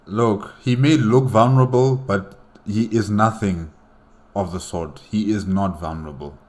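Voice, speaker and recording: A man's voice: lowish voice, a little slow, a little mellow. A man hosting a reaction video, commenting casually on what he hears.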